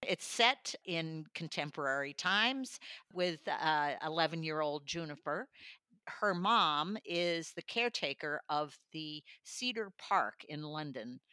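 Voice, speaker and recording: A woman talks through a book review in a conversational way. Her voice is pitched low yet sounds bright and crisp-edged, recorded very dry. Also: lively intonation, takes it slowly, no echo. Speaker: audiobook reviewer, studio guest.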